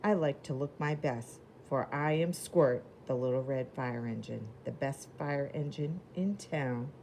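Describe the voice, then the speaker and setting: A woman voicing a proud, eager little red fire engine in a children's storybook, with simple, expressive delivery. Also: extremely low pitch, measured pace, slight background noise. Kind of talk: children's storybook character narration.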